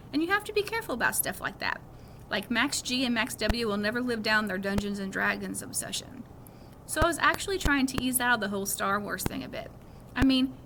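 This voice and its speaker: A woman reading a children's novel aloud as a first-person story. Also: fairly high pitch. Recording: clearly noisy background.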